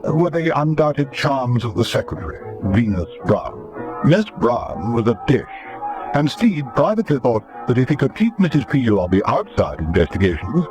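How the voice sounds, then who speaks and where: A man narrates a vintage radio serial with wry, urbane polish. His medium-pitched voice moves expressively up and down over clearly audible music. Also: poor audio.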